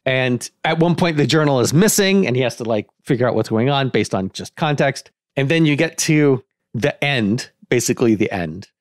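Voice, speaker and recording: A man's voice: mid-range voice, expressive, moderate pace, dry recording, studio quality. A man talking casually as a podcast co-host, animatedly thinking aloud through ideas in a brainstorming discussion.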